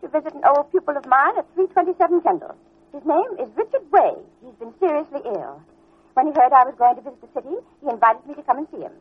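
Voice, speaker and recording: Casting: middle-aged prim American schoolmarm, imperious, high swooping voice, old radio serial with tinny narrow sound. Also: female voice, animated, fast. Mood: breezy, stubborn. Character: prim, bossy, unflappable middle-aged New England schoolteacher woman. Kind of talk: old-time radio drama acting. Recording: tinny, band-limited vintage radio recording.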